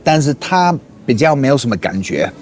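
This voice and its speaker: A man chatting as a guest, relaxed and storytelling, mixing English and Mandarin. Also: medium pitch, fairly quick, a little hiss or hum. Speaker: guest, son. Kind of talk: casual chat.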